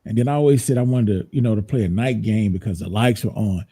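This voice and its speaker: A man's voice: fairly low pitch, fast, warm tone, husky. A man hosting an interview in a laid-back, chatty way, often repeating words as he gathers his thoughts. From United States. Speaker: podcast host. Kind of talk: casual interview chat.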